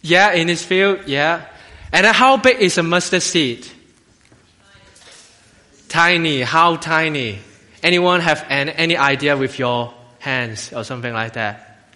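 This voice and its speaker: Male lay preacher, Hong Kong accent, slightly high bright voice, halting, self-deprecating, informal children's sermon. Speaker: assistant lay minister preaching. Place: church, speaking to a congregation. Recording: long hall reverb.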